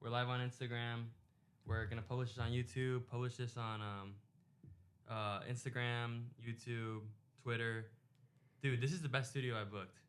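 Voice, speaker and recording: A man's voice: medium pitch, fairly even intonation, normal speed, smooth. A young man hosting a casual podcast in a recording studio, talking loosely with lots of fillers and slang into a headset mic. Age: young adult. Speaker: podcast host.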